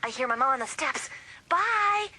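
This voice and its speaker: A woman playing a sassy, gossipy high school girl in a dramatised audiobook, expressive and teasing, with slightly husky edges. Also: moderate pace, very noisy.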